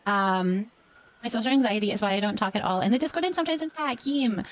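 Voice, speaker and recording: A woman's voice: mid-range voice, quick pace, no room sound. A Canadian woman hosting a casual livestream, reading out and answering comments in an affectionate, encouraging way. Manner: affectionate, encouraging, addresses listeners with endearments. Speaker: livestream host.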